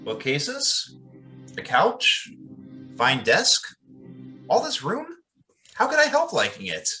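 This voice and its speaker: An actor playing an earnest, deferential young pastor and family friend in an old-time radio drama, polite and hesitant. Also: male voice, on the high side, wildly swooping pitch, slow, pushed and bright, soft background music, full character voice. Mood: flustered, pleased.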